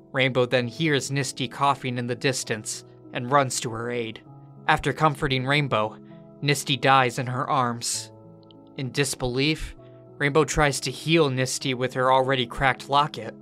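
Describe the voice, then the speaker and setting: A man with a bright, forward voice narrates a scripted recap of a story. His pitch sits in an ordinary male range, and music plays clearly underneath him.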